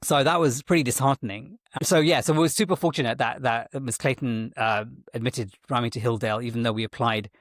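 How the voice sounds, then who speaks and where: A man's voice: medium pitch, steady tone, a little fast, hardly any pauses, dry recording, clean studio sound. A man interviewed as a guest, talking in a rambling way with frequent restarts and self-corrections. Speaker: guest, tech executive and parent. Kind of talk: conversational interview.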